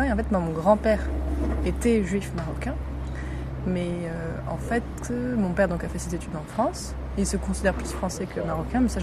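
Young woman, rambling casual chat, filler-heavy, outdoor terrace, street noise behind. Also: medium pitch. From Morocco. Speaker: podcast co-host.

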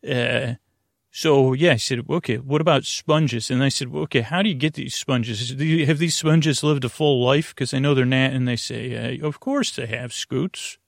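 Creaky-voiced man, rambling bedtime host. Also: medium pitch, no room sound, clean studio sound. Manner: soothing, lulling delivery; digressive, halting, trailing off mid-thought. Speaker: host of a bedtime story sleep podcast. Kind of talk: rambling, meandering bedtime monologue.

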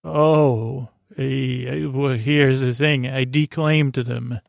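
A man hosting a sleep podcast in a meandering, halting bedtime monologue, drifting off on tangents and stammering over his words. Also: medium pitch, flat delivery, gravelly, no echo, clean studio sound.

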